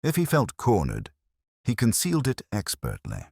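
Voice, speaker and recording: A man's voice: on the low side, huge pitch swings, moderate pace, vocal fry, a little mellow, slightly rough, no room sound, clean studio sound. A man reading scripted detective fiction as a storybook narrator.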